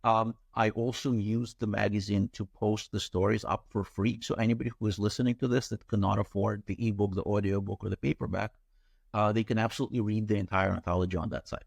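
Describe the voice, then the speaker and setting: A man's voice: fairly low pitch, fairly even intonation, fast, creaky, husky, dry recording. A middle-aged man from Ukraine whose first language is Russian, explaining steadily as an interview guest over a video call. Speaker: author and editor, guest. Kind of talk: interview answers.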